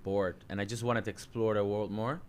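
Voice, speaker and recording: A Dutch man in his early thirties, speaking English with a Dutch accent, relaxed and chatty as a coach telling his story in an interview. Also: lowish voice, brisk, a little hiss or hum. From Netherlands. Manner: relaxed, chatty, many fillers and self-restarts. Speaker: jiu-jitsu coach and interview guest.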